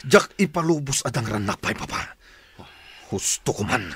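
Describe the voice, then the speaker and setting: An actor playing a hot-headed grown son in a radio drama, with a Filipino accent and a dramatic, explosive delivery. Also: male voice, medium pitch, big pitch swings, normal speed, fairly bright tone, husky, no room sound, full character voice. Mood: pleading. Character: hot-headed grown son, violent yet cowed by his father. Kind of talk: melodramatic radio drama acting.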